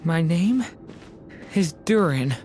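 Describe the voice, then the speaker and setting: A gentle young boy in a video game. The voice is slow and hesitant, earnest and unsure of itself, with clear music playing behind it. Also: on the low side, animated. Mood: weak, lost. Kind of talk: scripted video game voice acting.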